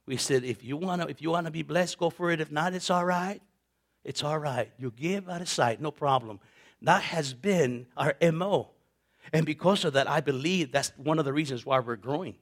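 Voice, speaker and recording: A man's voice: fairly high pitch, normal speed. A man in his fifties preaching, telling personal stories with repetitions, laughter and acted-out voices of others. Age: 50s. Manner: animated, repeats words, laughs often, acts out quoted voices. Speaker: pastor. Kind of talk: sermon with personal storytelling.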